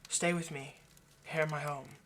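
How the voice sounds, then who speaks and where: A man's voice: fairly high pitch, fairly even intonation, normal speed, a little hiss or hum, natural voice. A man playing an earnest, lovestruck vampire in an intimate roleplay monologue, speaking hesitantly and persuasively. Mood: tender, grave. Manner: hesitant, stammering, gently persuasive. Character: earnest, lovestruck male vampire coaxing a partner.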